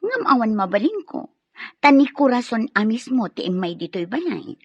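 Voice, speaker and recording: An actress playing a stern, scornful wife of the house in a radio drama, scolding and giving orders. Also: female voice, fairly high pitch, sing-song, normal speed, no echo, lo-fi sound. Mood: accusing. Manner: scolding, cutting, commanding.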